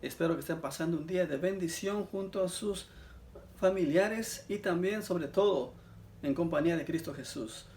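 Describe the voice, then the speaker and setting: A man's voice: fairly high pitch, normal speed, darkish tone, a little hiss or hum. A man from Mexico with a Mexican accent, sharing his personal religious testimony earnestly and addressing listeners as brothers. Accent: Mexican Spanish.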